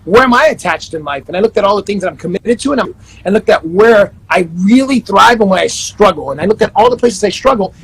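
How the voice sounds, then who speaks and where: A man's voice: high voice, expressive, fast talker, a bit bright, breathy edge, low-quality recording. A man in his thirties, a life coach, chatting upbeat in an interview over a video call. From Panama and the Netherlands. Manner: upbeat, self-promoting, many restarts. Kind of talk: casual interview chat.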